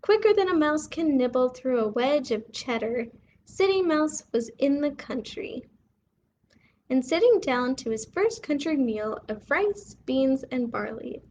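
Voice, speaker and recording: A woman hosting a bedtime read-aloud for young children, expressive and putting questions to her listeners. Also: high-pitched, a little slow, dull audio, slight background noise. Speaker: children's story reader and host.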